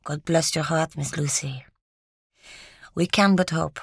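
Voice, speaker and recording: A woman voicing a kindly, patient old pipe-smoking man with a Polish accent, gentle and earnest, in an audiobook. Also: sits low, unhurried, vocal fry, slightly rough, dry recording, clean studio sound, exaggerated voice. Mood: grateful.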